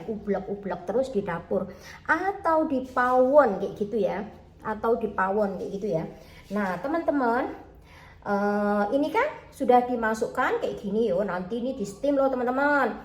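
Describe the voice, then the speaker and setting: Javanese indonesian woman vlogging from her kitchen, kinda high voice, echoey room, keeps going "teman-teman ya". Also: animated, normal speed. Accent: Javanese Indonesian, mixed with Malaysian Malay. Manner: chatty, keeps saying "teman-teman, ya", talks about herself in third person. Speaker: food-selling vlogger. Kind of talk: casual chat to viewers. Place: home kitchen.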